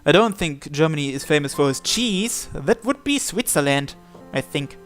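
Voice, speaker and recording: A man with a high-pitched voice narrates a visual novel, reading the story text aloud. Game music plays behind him. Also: animated, moderate pace, a bit bright.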